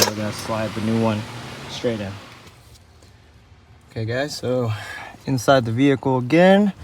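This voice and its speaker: A man with a fairly low voice walks through a car repair in a casual, hands-on style. He is informal and a little hesitant, often correcting himself. The mic sits away from him and picks up clear background noise. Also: far from the mic. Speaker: auto mechanic presenting a how-to. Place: at a car's engine bay and inside the cabin.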